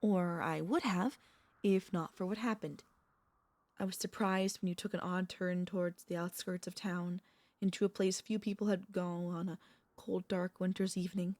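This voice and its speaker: A woman playing a tender, anxious, possessive vampire mistress in an intimate ASMR audio roleplay, speaking in soft, formal, pleading phrases with dramatic pauses. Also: fairly low pitch, fairly even intonation, dry recording, natural voice. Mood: wistful, confessional.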